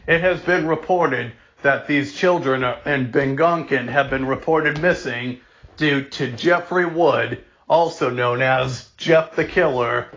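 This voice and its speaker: A man playing a formal, grave emergency alert announcer, reading scripted warnings in a deliberate, measured way. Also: mid-range voice, a bit bright. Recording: dull lo-fi audio.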